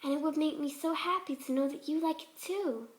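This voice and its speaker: A woman voicing a shy, gentle young female pegasus pony, bashful, hesitant and polite, in a cartoon fan story. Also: high-pitched, a little fast, pitched above the performer's own voice, close to an everyday voice. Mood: shy, earnest.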